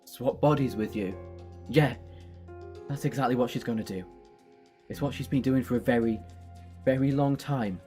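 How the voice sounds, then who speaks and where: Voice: medium pitch, darkish tone, lightly acted. An actor playing an earnest, compassionate alien hero in a sci-fi audio drama, urgent and apologetic in manner. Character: earnest, compassionate alien time-traveller hero, pleading and self-sacrificing.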